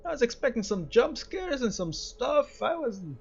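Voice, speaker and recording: Casual male gamer, fairly high voice, wry asides, slightly dull lo-fi mic with soft music underneath. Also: big pitch swings, moderate pace, gravelly, breathy edge. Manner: wry, reacting aloud in asides. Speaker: video game player commentating. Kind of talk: casual let's play commentary.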